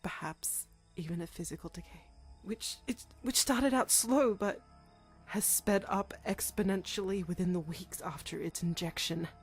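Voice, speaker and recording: A woman's voice: on the low side, normal speed, husky, faint music behind. A woman playing a grave, anxious scientist giving reports in an audio drama. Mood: grave, fearful.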